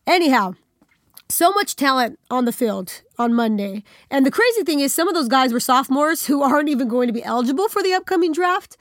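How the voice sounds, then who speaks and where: A woman's voice: high-pitched, animated, a little fast, no room sound, clean studio sound. A woman in her thirties co-hosting a sports podcast, upbeat and chatty, slightly nasal from a cold. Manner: upbeat, chatty, laughs easily. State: has a cold. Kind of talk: casual sports chat.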